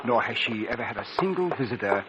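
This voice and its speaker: An actor playing an elderly, kindly, fussy parish priest with a doddering, hesitant manner in a 1940s radio drama. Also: male voice, medium pitch, animated, fast talker, natural voice. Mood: genial, confiding. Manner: doddering, hesitant, muttering exclamations. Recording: very noisy old 1940s radio recording.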